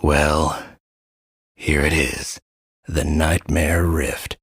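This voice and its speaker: A man voicing a gloomy, wry guide to a nightmare land in a game, husky and deadpan. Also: a bass voice, extremely slow, no echo, studio quality, full character voice. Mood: wry, ominous. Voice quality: husky, raspy. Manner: deadpan, ominous wit. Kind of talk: scripted game narration.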